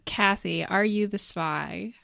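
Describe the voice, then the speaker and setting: A woman's voice: medium pitch, slow, creaky, a bit bright, no echo, studio quality. A woman hosting a game show in a casual style, moving players through their turns.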